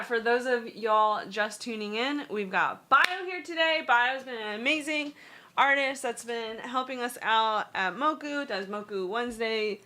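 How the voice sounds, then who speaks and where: Slow, chatty woman streaming. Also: medium pitch, bright, forceful tone. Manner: chatty, loose, reads and translates viewer text; halting non-native Spanish. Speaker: stream host. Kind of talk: casual livestream art critique chat.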